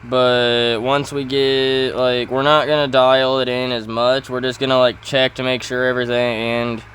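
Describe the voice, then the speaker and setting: A man giving casual commentary over farming gameplay, halting and often correcting himself as he thinks aloud. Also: medium pitch, little pitch movement, a bit bright, a little hiss or hum. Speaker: gaming video host.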